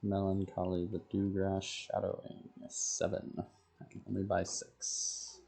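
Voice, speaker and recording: Low, husky man muttering over a game. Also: completely flat delivery, a little slow, mellow. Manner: absent-minded thinking aloud in short fragments. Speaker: game livestreamer. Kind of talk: casual chat while playing, muttering to self.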